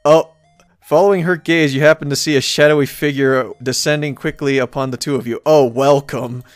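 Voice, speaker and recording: A man's voice: on the high side, steady tone, brisk, clear, resonant tone, dry recording. A man hosting a let's play, reading game text aloud dramatically and adding playful, wry asides.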